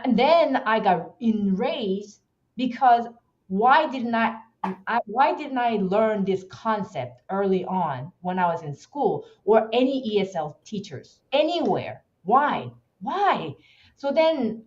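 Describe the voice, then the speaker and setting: A woman's voice: medium pitch, darkish tone, lo-fi sound. Passionate Korean-accented woman guest. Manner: emphatic, passionate, frequent restarts. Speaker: podcast guest. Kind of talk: casual interview talk.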